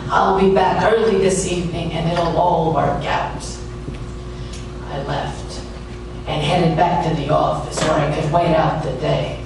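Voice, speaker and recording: A man's voice: a little high, level tone, a little slow, background noise. Man acting a wry noir private eye, very echoey. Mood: dry, defiant. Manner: sardonic, hardboiled. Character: wry, world-weary male private detective. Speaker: actor and narrator. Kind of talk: noir radio drama narration. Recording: echoey room, distant mic, music, amateur sound.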